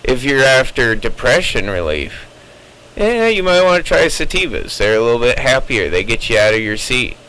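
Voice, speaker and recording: Bright-voiced man rambling on CB radio. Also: mid-range voice, sing-song, moderate pace, rough recording. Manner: rambling explainer, opinionated. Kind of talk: casual chat over CB radio. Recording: CB radio chatter with faint noise.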